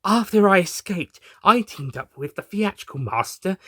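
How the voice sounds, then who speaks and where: A man's voice: high-pitched, expressive, normal speed, fairly bright tone, no room sound, studio quality, voice pushed up. A man voicing a camp, haughty, theatrical villainess in a comic sci-fi audio story. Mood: gloating.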